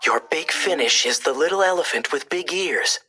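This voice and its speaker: A woman voicing a small, kind, excitable mouse who encourages his friend in a children's storybook. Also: sits low, moderate pace, slightly rough, exaggerated voice. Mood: scheming, encouraging.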